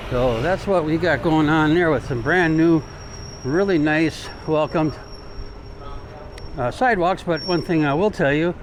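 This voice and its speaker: An American man doing a casual, rambling travel vlog while walking, talking in an informal, folksy way. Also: on the high side. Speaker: travel vlogger. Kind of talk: casual rambling walk-and-talk chat. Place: outdoors, walking along city streets. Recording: clear outdoor street and traffic noise.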